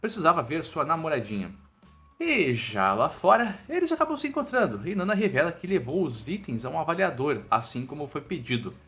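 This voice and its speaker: A man's voice: on the high side, sing-song, brisk, pushed and bright. A man with a Brazilian accent narrating a scripted comic story recap in a playful, colloquial storytelling manner. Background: background music.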